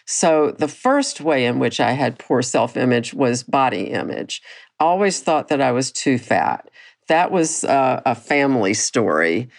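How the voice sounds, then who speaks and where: A woman in her sixties hosting a personal podcast, talking candidly and reflectively with a low voice that does not carry, often restarting her phrases. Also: lively intonation, a little slow. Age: 60s. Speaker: podcast host.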